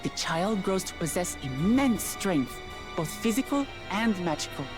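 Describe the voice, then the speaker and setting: Proud, animated young princess. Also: female voice, medium pitch, unhurried. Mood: explanatory. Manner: earnest, blunt. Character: proud, plain-spoken young princess, claimant to the throne. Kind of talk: scripted video game voice acting. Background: music.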